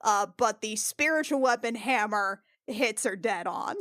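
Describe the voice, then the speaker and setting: A woman narrating her moves casually as a player in a tabletop game, halting and thinking aloud, with some swearing. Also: medium pitch, a bit bright, no room sound, studio quality. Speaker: player in a tabletop RPG podcast.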